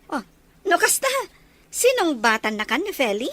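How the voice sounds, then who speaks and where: A woman's voice: high-pitched, very animated, normal speed, fairly bright tone. A woman with an Ilocano accent playing a cheery, teasing older sister in a serial drama. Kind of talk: Ilocano radio-style serial drama acting.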